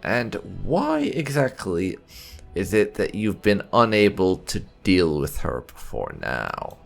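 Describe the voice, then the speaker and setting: A very deep-voiced man hosts a roleplay let's play. His delivery is slow and thoughtful, with hugely varied intonation and a creaky, gravelly edge. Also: huge pitch swings, soft background music. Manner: thoughtful, deliberate, reading character dialogue aloud. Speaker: gaming channel host.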